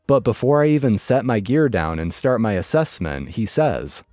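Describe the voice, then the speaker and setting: A man narrating online stories in a lively, dry storytelling manner. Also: lowish voice, normal speed, no echo, faint music behind.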